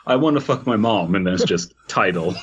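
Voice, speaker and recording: A man's voice: fairly low pitch, expressive, brisk, fairly bright tone. A man co-hosting a podcast, reading a story aloud and breaking off into crude jokes. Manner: crude joking, laughs mid-sentence while reading aloud.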